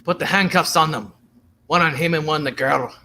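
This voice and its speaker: A man's voice: a little high, a little fast, bright, forceful tone. A young man playing a pushy, folksy henchman in a 1930s radio play. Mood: bossy, menacing.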